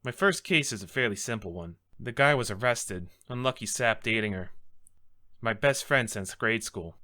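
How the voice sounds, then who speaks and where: A man's voice: on the low side, lively intonation, moderate pace, sharp tone, voice pushed down. A man playing an earnest, nervous young rookie defense lawyer in a game script, hesitant in his delivery. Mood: earnest, reflective. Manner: hesitant, stammering.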